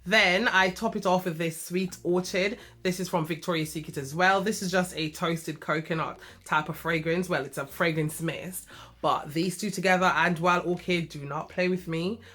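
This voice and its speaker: A woman's voice: lowish voice, fairly even intonation, moderate pace, fairly bright tone. A young British woman reviewing fragrances in a chatty, affectionate way, sounding slightly nasal from a cough. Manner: chatty and affectionate, full of asides to viewers. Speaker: beauty vlogger.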